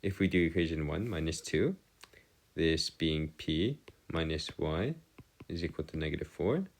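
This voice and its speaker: A man giving a step-by-step math lesson as a tutor, halting and pausing to check himself, with formal, bookish wording. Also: extremely low pitch, expressive. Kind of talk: lesson, working through equations step by step.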